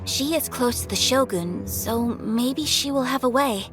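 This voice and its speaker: A poised young noble leader in a game cutscene. Speech is formal, measured and earnest in a fairly high voice, with music behind. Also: female voice. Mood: calm resolve. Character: composed, dutiful young noblewoman, strategist and leader. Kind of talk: video game cutscene voice acting.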